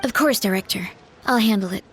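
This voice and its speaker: A woman playing a calm, polite, professional undertaker in a video game. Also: mid-range voice, a little fast, natural voice. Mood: composed. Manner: composed, courteous, professional.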